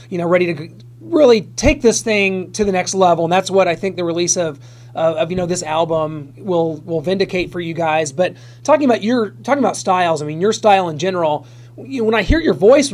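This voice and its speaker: High-voiced enthusiastic interviewer. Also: male voice. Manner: enthusiastic, long winding questions. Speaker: radio interviewer. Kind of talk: interview questions.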